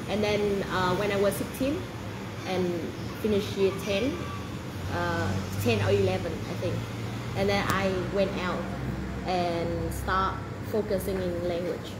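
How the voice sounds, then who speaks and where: A woman in her twenties, born in Vietnam, a job applicant telling her work history in halting speech with many fillers and restarts. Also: mid-range voice, steady tone. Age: 20s. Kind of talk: interview answers. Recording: echoey room, loud background noise.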